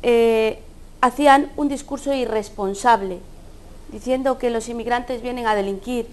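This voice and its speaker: A woman from Ecuador with an Ecuadorian Spanish accent, speaking earnestly as a guest in a studio debate. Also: fairly high pitch. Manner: earnest, speaks from personal experience. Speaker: panel guest.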